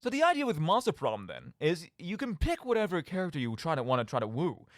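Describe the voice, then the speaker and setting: A man with a slightly high voice hosts a casual solo radio talk on games. His intonation is lively and jumps around a lot. He speaks emphatically, cutting himself off and rambling onward, in a dry recording with little room sound. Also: fast, dry recording. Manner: rambling, self-interrupting, emphatic. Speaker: radio show host.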